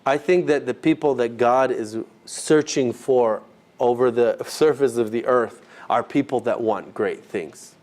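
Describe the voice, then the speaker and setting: Male ministry trainer, mid-pitched, informal classroom delivery, halting and conversational. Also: a little mellow. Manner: conversational, halting, rephrasing as he goes. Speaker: ministry trainer teaching a group. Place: live session with a group present.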